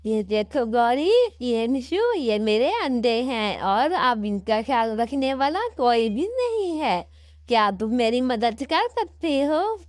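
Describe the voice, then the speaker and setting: A woman's voice: on the high side, expressive, slow pace, darkish tone, no room sound, studio quality. A woman voicing a distressed mother bird in a cartoon story, plaintive and pleading. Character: distressed mother bird turned ghost, pleading for her eggs.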